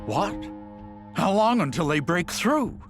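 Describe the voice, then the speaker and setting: A man playing an imperious, excitable king in a video game cutscene, demanding and emphatic. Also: high-pitched, slow pace, music in the background, heavily acted. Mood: alarmed.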